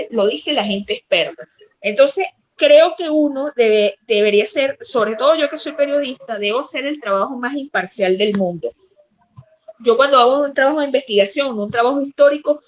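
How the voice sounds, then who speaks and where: A journalist from Portugal, a woman, arguing her point with firm, insistent emphasis on a podcast. Her voice sits somewhat high for a woman. She talks in long, unbroken runs. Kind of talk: opinionated podcast chat.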